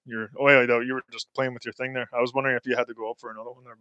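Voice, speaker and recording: A man hosts a loose chat at home, rambling, swearing freely and letting his sentences trail off. His pitch sits at an ordinary middle level. The sound is dry, with no room echo. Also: flat delivery, very fast, pushed and bright, clear, resonant tone, dull audio. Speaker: podcast host. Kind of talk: casual chat.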